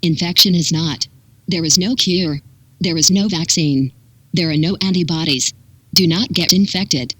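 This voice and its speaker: A synthetic text-to-speech voice reading a scripted public warning in an impersonal, measured manner with clipped instructions. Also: medium pitch, pushed and bright. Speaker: emergency broadcast announcer.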